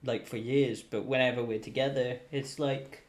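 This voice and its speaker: A man's voice: medium pitch, steady tone, normal speed, echoey room. A man in casual comedic chat, loose and teasing.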